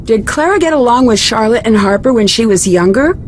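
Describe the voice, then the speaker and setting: Woman acting a bright-eyed young female detective, higher voice. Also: expressive, brisk, slight background noise, natural voice. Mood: inquiring. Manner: inquisitive, polite, clearly spoken. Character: young female amateur detective, inquisitive and earnest. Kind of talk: video game voice acting.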